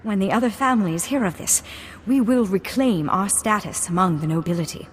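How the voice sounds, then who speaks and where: A woman's voice: medium pitch, brisk, a little hiss or hum, close to an everyday voice. A woman playing a proud young noblewoman in a video game, speaking formally and earnestly, with a haughty, scolding edge. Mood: triumphant. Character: proud, prim young noblewoman, earnest heiress of a fallen house.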